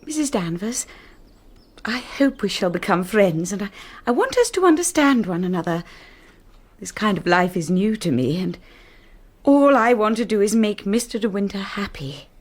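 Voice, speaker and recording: A woman's voice: mid-range voice, sing-song, moderate pace, dry recording. A woman playing a shy, anxious young wife in a radio drama, with an English accent and a hesitant, polite manner. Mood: nervous, polite. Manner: hesitant, polite, self-effacing. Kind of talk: radio drama acting with first-person narration.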